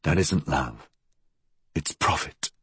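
A man playing a calm, menacing man in a story, speaking in a gravelly voice with terse, cold insistence. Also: extremely low pitch, slow, no room sound, full character voice. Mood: accusing.

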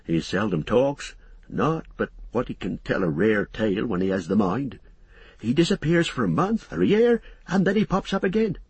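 A man's voice: medium pitch, very animated, moderate pace, darkish tone, slightly rough, dry recording, heavily acted. A man voicing a folksy middle-aged country innkeeper in a storybook reading, rambling and confiding in rustic speech. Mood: confiding, gossipy.

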